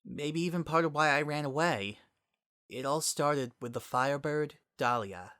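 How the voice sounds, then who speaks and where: Guy doing a tired sarcastic girl pony, guarded, bright voice, cartoon fan story reading. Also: on the high side, moderate pace, clean tone, dry recording, clean studio sound, pitched above the performer's own voice, close to an everyday voice. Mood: somber. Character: young female pony, weary, guarded, wryly sarcastic.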